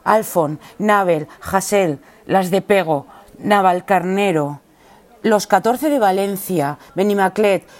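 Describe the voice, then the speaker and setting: A woman acting in a radio drama as a scatterbrained, excitable young activist, with a Spanish accent from Spain and slangy, chatty delivery. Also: medium pitch. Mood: eager.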